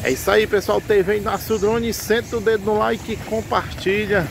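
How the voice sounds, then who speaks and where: A man's voice: high-pitched, fairly even intonation, normal speed, creaky, noisy background. A man, vlogging casually as he walks an outdoor street market, chatting with vendors and repeating their prices to viewers. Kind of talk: casual street vlog chat. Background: background music.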